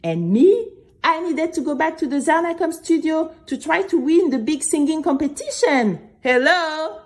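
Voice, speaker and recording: Woman playing an animated, dreamy young girl storyteller. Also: high voice, moderate pace, voice pushed up. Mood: dismayed. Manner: expressive, dramatic, chatty asides to listeners. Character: nervous young girl narrator, dreamy aspiring singer. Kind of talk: scripted first-person storytelling for children.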